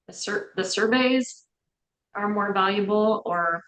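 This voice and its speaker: A woman's voice: mid-range voice, slow pace, a bit bright, rough recording. A woman commissioner asking questions in a public meeting, tentative and searching for words. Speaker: board commissioner.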